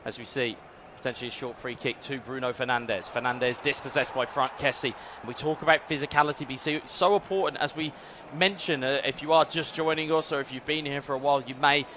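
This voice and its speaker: A man's voice: medium pitch, fairly even intonation, a little fast, few pauses, noisy background. A man with an English accent giving fluent, upbeat play-by-play commentary on an esports football match, often speaking to the viewers directly. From England.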